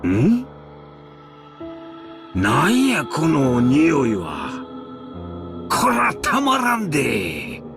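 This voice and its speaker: A blustery middle-aged Kansai man in a game. His delivery is hearty and emphatic, leaping all over in pitch. A rough creak runs through it, and soft music sits underneath. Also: huge pitch swings, slow pace, long pauses, heavily acted. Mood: disgusted. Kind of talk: Japanese visual novel voice acting.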